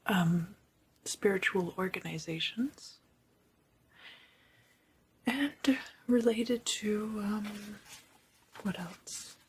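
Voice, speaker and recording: Slow whispering ASMR woman. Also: mid-range voice, slight background noise. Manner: slow, hushed, repeats phrases softly. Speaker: ASMR video host. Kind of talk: whispered ASMR guided meditation.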